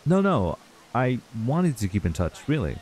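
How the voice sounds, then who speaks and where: A man's voice: mid-range voice, sing-song, moderate pace, a little mellow, lightly acted. A man playing a laid-back, wistful young man in an ASMR roleplay, talking casually and hesitantly to a childhood friend. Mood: earnest. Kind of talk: ASMR roleplay acting, intimate and conversational.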